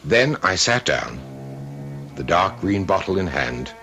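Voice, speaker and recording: An aloof prissy uncle narrating an old radio play, super deep n animated, very formal. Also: male voice, slow, creaky, soft background music, exaggerated voice. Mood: dismayed, prim. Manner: pompous, formal, ornate wording. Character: fastidious, aloof, pompous bachelor uncle. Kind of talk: old-time radio drama narration and acting.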